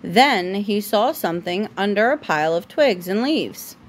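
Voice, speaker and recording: A woman reading a picture book aloud to a child at home, breaking off for informal, gently correcting asides. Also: mid-range voice, animated, measured pace, a little hiss or hum. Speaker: parent reading a bedtime story to a child.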